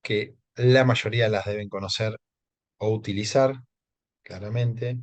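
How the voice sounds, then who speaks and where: A man's voice: fairly low pitch, steady tone, measured pace, no room sound. Argentine man talking to a webinar crowd. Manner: conversational, hesitant with many fillers, keeps asking the audience. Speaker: trainer presenting a webinar. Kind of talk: live webinar presentation.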